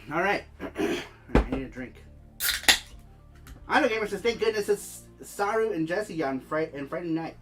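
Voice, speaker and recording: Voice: mid-range voice, expressive, measured pace. A livestream host with a head cold and congested, stuffy-nosed sound, chatting casually and reading out viewer comments. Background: background music.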